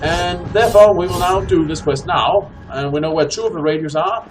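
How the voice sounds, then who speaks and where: A man's voice: fairly high pitch, a little fast, music in the background. A man hosting a co-op let's play, commenting casually in wordy, rather formal phrasing. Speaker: host of a co-op gaming series. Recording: faint game noise behind voice. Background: game ambience.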